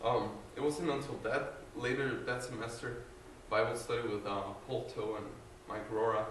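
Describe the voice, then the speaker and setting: An earnest young man from Guatemala gives a personal faith testimony to a group, pausing often, restarting sentences and filling gaps with ums. He speaks without a mic, so his voice sounds distant. The room is echoey and has faint ambient noise behind him. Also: medium pitch, steady tone, a bit bright. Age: young adult. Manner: hesitant, earnest, many fillers and restarts. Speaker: student giving testimony. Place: large room with an audience. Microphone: no mic, distant recorder.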